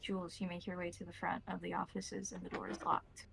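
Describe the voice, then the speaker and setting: A woman narrating a tabletop roleplaying game as game master, improvising scenes in a measured, descriptive way. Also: lowish voice, monotone, gravelly, noisy background.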